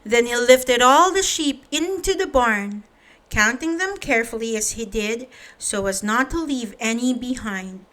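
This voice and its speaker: A woman narrates a picture book for young children. Her voice sits a little high and her pace is slow, and she talks straight to the listener as she reads. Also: fairly bright tone. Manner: addresses young listeners directly. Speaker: children's story reader. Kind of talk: read-aloud storybook narration.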